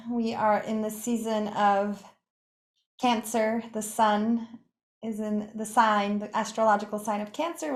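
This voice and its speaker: Echoey woman guiding a meditation. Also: medium pitch. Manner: unhurried, gently inviting, with pauses between short phrases. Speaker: yoga nidra meditation teacher. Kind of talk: guided meditation. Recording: echoey, roomy sound.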